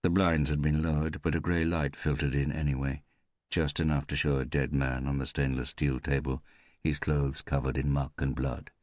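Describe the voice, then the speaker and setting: A man's voice: rumbling low, level tone, moderate pace, darkish tone, no room sound, studio quality. A man reading a crime thriller audiobook as scripted narration.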